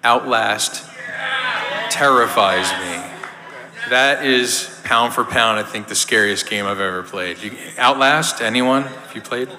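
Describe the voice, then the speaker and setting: A man's voice: mid-range voice, a little slow, crowd noise. A man from the Philadelphia area casually moderating a panel on a convention stage. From Philadelphia area, United States. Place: on stage in a large hall at a convention. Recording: echoey hall PA with noise.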